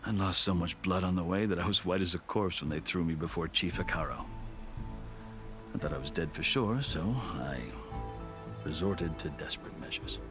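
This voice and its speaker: A man as a very deep-voiced, proud captive commander. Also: close to an everyday voice. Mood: wry, solemn. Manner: formal, measured, theatrical storytelling. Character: proud, weathered male captive soldier, eloquent former high commander. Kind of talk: video game cutscene acting. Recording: music behind the voice.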